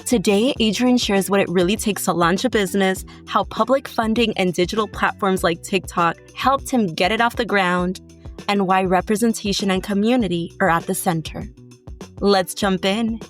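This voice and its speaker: Casting note: woman podcast host, mid-pitched, measured read, faint music underneath. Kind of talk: scripted intro and interview questions.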